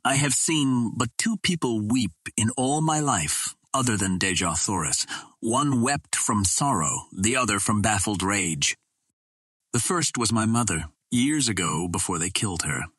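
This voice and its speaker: A man voicing a grave young green Martian woman in an audiobook, speaking in an earnest, measured way. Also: on the low side, expressive, fairly bright tone, slightly rough, no echo, studio quality, heavily acted.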